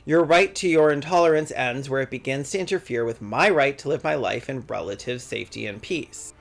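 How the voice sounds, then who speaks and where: A man's voice: medium pitch, brisk, vocal fry. Sardonic young man with faint music behind. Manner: sardonic, profane asides. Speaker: video essayist. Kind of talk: scripted commentary with sarcastic jokes.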